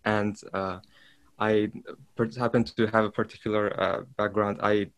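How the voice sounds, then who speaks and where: Halting low-voiced man. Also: little pitch movement, clear, resonant tone. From Iran. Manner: halting, searching for words, many restarts. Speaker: podcast guest. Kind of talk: casual interview talk.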